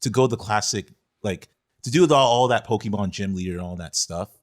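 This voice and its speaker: A man co-hosting a podcast, casually giving film opinions in a halting way, rephrasing as he goes. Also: lowish voice. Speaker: podcast co-host. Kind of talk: casual film discussion chat.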